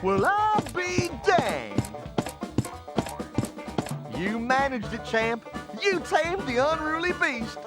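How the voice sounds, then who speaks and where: A folksy rodeo cowboy played by an actor drawls his pitch with a lively, bouncing voice. Music runs behind him in a cartoon game scene. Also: takes it slowly, long pauses, exaggerated voice. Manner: folksy drawl, calls everyone champ. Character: folksy rodeo cowboy barker, adult male. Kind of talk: cartoon game cutscene acting.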